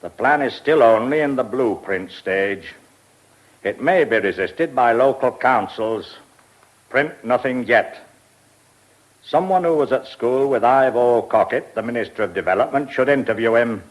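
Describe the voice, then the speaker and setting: An actor with a British accent playing an eccentric, brusque lordly press baron in a radio drama, speaking in clipped, mumbling phrases. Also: male voice, medium pitch, unhurried, lightly acted, low-quality recording. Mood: imperious.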